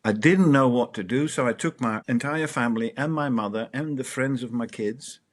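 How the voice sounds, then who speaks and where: A middle-aged man from Denmark who grew up in the Netherlands, telling personal stories as an interview guest in an animated way. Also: mid-range voice, moderate pace. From Denmark, raised in the Netherlands. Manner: animated, swears and laughs while telling stories. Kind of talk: reflective storytelling.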